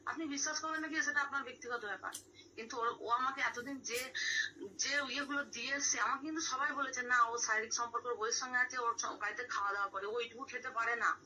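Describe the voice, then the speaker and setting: A woman's voice: a little high, fairly even intonation, fast, sharp tone, slight background noise. A woman pleading insistently and repeating herself in an emotional argument on a phone call recording. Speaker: caller confronting another woman.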